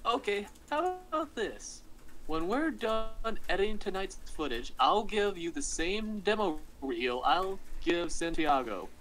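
A man plays a harried male team leader in a script read. His voice sits high and swings widely in pitch, with slow delivery. It comes over a faintly noisy online connection. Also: big pitch swings, a bit bright, exaggerated voice. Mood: bargaining. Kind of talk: scripted table read, acting.

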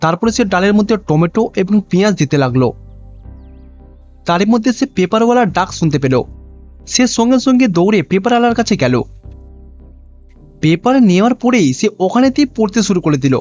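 A man's voice: high-pitched, lively intonation, a little fast, long pauses, darkish tone, highs cut off, faint music behind. A man reading a scripted cartoon story as narrator.

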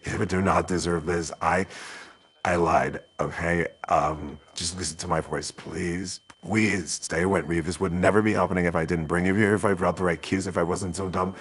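A man playing an anxious, devoted young man who pleads haltingly in an amateur voiced roleplay drama. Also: low voice, steady tone, close to an everyday voice. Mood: desperate, pleading. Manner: pleading, halting, repetitive reassurance.

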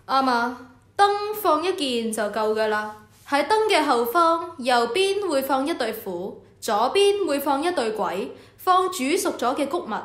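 A temple official acted by a woman speaks in a high voice with a Hong Kong Cantonese accent. The manner is authoritative and instructive, as in a scripted radio drama, and the sound is roomy and echoing. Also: sing-song, measured pace, a bit bright, full character voice. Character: official in charge of the Temple of Heaven, instructive superior. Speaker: voice actor.

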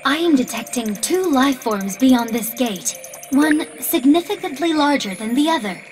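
A woman's voice: sits high, lively intonation, moderate pace, a little mellow, soft background music. A woman voicing a polite, analytical AI assistant in a video game, speaking in precise, formal, scientific diction. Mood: matter-of-fact. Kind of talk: scripted video game dialogue. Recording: long reverb, faint background noise.

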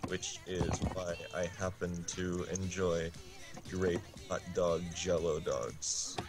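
Slow, low-voiced deadpan man reading. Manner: deadpan, drawn-out phrasing with long pauses. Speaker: guest reader on a game stream. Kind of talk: deliberate comic reading of absurd fiction. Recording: game music behind the voice. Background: loud video game music.

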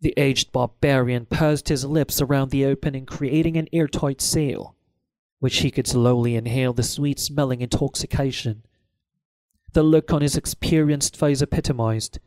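A man's voice: mid-range voice, darkish tone, no echo. A man reading first-person fiction as an audiobook narrator, in a scripted storytelling style.

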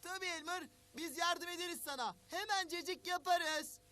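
A woman voicing a playful, eager little child in a cartoon, with an excitable way of talking. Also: very high voice, moderate pace. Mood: sheepish, eager. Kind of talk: cartoon voice acting.

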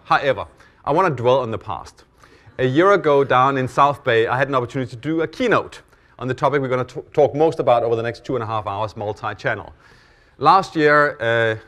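A middle-aged man from Denmark with a Danish accent, speaking as host of a conference stage session in a relaxed, self-deprecating way, often pausing on "uh" and "um". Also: mid-range voice. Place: conference hall.

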